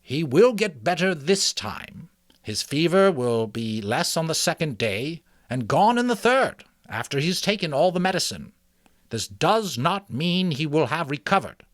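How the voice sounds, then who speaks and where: A man's voice: a little high, very animated, a little slow, no room sound, clean studio sound, voice pushed up. A man voicing a very old, wise mouse healer in a storybook reading, speaking precisely and emphatically like an authoritative doctor. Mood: firm, cautioning. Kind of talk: dramatic storybook character reading.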